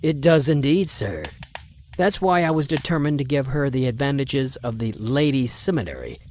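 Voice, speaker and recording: Man voicing a pompous wealthy father, old-time radio drama, stern, condescending, lively rising and falling delivery, faint hiss. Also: mid-range voice, normal speed. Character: stern wealthy older father, Mister Spencer. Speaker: voice actor.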